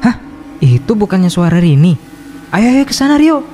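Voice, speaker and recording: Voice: mid-range voice, sing-song, normal speed, over music, lightly acted. Seorang pengisi suara memerankan pemimpin rombongan pendaki yang memberi arahan dan menenangkan teman-temannya. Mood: alarmed. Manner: reassuring, instructive. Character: calm hiking group leader. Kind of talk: animated horror story voice acting.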